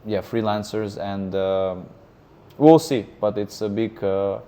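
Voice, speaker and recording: Thirtyish guy, lowish warm voice, halting chatty interview answers. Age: 30s. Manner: hesitant, many fillers and restarts. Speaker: guest, freelancer. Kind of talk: casual interview conversation.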